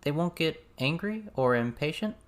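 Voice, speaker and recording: A man's voice: fairly high pitch, expressive, normal speed, pitched above the performer's own voice, lightly acted. A man voicing a guarded, thoughtful teenage girl in an audiobook, dry and matter-of-fact.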